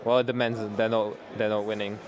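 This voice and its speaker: A man's voice: fairly low pitch, level tone, fairly quick, low-quality recording. A young man hosting a student sports livestream, chatty and laughing as he thanks people. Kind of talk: casual sports livestream chat. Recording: crowd audible behind. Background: crowd noise.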